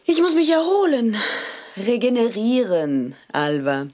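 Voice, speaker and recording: An actress playing a secretive celebrated singer in an audio drama, measured, soothing and gently evasive. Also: female voice, on the high side, big pitch swings. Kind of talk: German audio drama acting.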